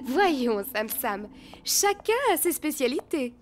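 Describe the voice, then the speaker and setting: Wildly sing-song, high cartoon mum. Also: female voice, very animated, drawn-out words, slight background noise, full character voice. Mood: teasing. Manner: playful, insistent. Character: spirited, teasing superhero mum. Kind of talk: French cartoon acting.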